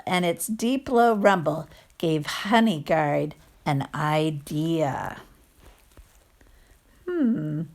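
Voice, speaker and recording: A woman reading a picture book aloud to children, expressive, with chatty asides and questions to listeners. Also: lowish voice. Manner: expressive, acts out sound words, asks listeners questions. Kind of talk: animated read-aloud storytelling with chatty asides.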